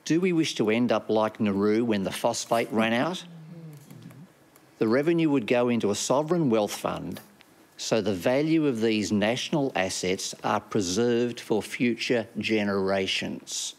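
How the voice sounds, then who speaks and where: A man putting a prepared question to a panel, then talking it through in an earnest, deliberate way. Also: fairly low pitch. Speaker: audience questioner.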